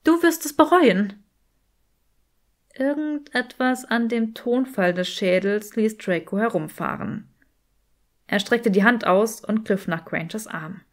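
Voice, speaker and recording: A woman reading a story as an audiobook narrator, in an expressive scripted style. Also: mid-range voice, a little slow.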